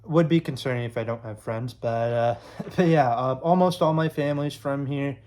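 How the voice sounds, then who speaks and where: Young guy from illinois, chatty vlog ramble, lots of uhs, mid pitch voice. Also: normal speed, darkish tone. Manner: rambling, many fillers and restarts. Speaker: YouTube sports creator. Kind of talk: casual talk to camera.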